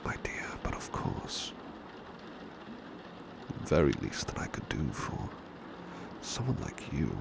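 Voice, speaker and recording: A man performs a gentle British butler with an extremely low, heavily creaky voice. He speaks in a breathy hush that often drops to a whisper, and his intonation moves expressively. His manner is deferential and comforting, in the style of an ASMR roleplay. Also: heavily acted. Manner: tender, deferential, reassuring. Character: devoted, gentle male butler soothing a sleepy master. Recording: clear background ambience noise, rain. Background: rain ambience.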